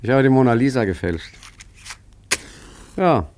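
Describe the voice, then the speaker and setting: A man's voice: mid-range voice, animated, a little slow, a little mellow, a little hiss or hum, close to an everyday voice. A man playing an urbane, boastful art forger in a radio play, chatting smugly with many asides and tag questions. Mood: smug, confiding. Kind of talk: radio drama monologue, conversational storytelling.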